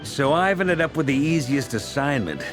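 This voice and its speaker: A man playing an easygoing, lively game leader. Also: mid-range voice, moderate pace, over music, close to an everyday voice. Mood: relaxed. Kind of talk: video game voice acting.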